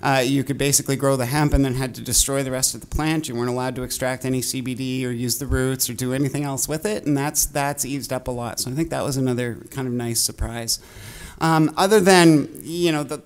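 A man's voice: mid-range voice, brisk. Man moderating a conference panel. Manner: relaxed, filler-heavy questioning. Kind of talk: panel discussion. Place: conference stage.

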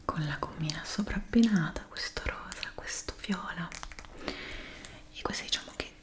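Whispering young woman, soft ASMR roleplay. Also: on the low side. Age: 20s. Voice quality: breathy whisper. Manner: gentle, unhurried, speaking as if to a customer. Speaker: ASMR creator playing a stationery shop assistant.

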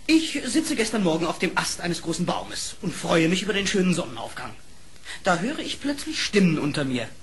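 An actor playing a fiery lynx who serves as court marshal in a fairy-tale audio play, formal and theatrical. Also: male voice, sits high, sing-song, moderate pace, a bit bright, breathy edge, heavily acted. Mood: recounting. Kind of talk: German fairy-tale radio play acting.

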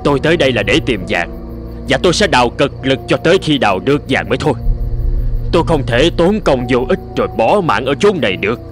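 A man's voice: mid-range voice, big pitch swings, fast talker, natural voice. A man playing an anxious, stubborn gold prospector in a cartoon, with a fretful, complaining manner. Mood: panicky, stubborn. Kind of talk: cartoon dub acting. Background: music.

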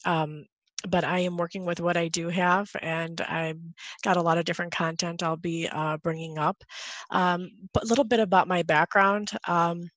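A woman's voice: on the low side, steady tone, moderate pace, a bit bright, dry recording. A woman in her thirties, from Guatemala, speaking earnestly as a guest over a video call with a headset, with many fillers. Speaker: guest, podcaster. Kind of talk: casual video-call interview. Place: home room indoors.

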